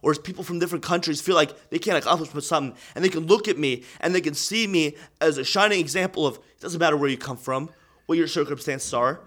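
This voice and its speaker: Bright-voiced young man telling his story. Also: on the high side, fast talker. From Estonia. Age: 20s. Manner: candid, earnest storytelling, swings between quiet and fired up. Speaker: aspiring pro wrestler. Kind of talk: documentary interview.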